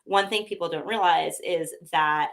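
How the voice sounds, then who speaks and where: A woman's voice: fairly low pitch, normal speed, gravelly, a bit bright. A woman talking casually about how her company sources its meat, chatty, with many fillers and restarts, a bit sniffly. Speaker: pet food company representative. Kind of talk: casual conversational interview.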